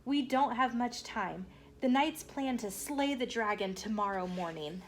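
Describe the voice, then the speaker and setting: A storyteller acts out a noble lady and worried mother in a children's storybook. She is a woman with a raised pitch, giving urgent instructions in measured words. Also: expressive, a little hiss or hum. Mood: determined. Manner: urgent, directing.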